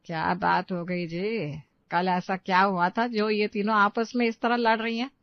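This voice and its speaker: A woman's voice: medium pitch, steady tone, measured pace, no room sound, muffled recording, close to an everyday voice. A woman voicing a puzzled elderly parent-in-law who questions the family in a dramatised story. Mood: curious. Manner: questioning, bemused.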